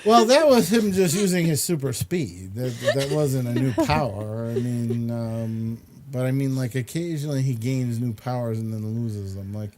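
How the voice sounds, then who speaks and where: A man's voice: mid-range voice, lively intonation, normal speed, hardly any pauses, a little mellow, a little hiss or hum. A man chatting casually and opinionatedly about pop culture, rambling as he explains and throwing in exasperated asides. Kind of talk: casual chat about films and comics.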